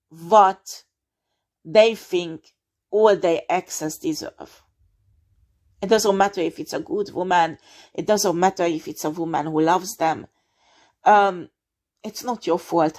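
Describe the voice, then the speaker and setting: Slow-talking woman giving frank advice. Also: lowish voice, a little mellow. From not France. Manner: earnest, frank, many fillers and restarts. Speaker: tarot reader. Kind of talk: direct-to-camera advice talk.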